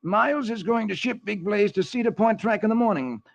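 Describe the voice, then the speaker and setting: A man's voice: high-pitched, a little fast, creaky, voice pushed up. A man voicing a nervous, scheming young villain who stammers furtively in a vintage children's radio reading. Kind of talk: 1940s children's radio comic-strip reading. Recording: muffled vintage radio recording.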